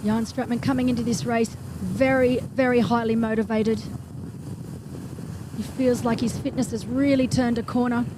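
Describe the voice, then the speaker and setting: A woman with a bright, high voice calls a triathlon live, brisk and enthusiastic, with chatty asides. Engine and wind noise from the race can be heard clearly behind her. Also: steady tone. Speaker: race commentator. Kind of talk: live sports commentary.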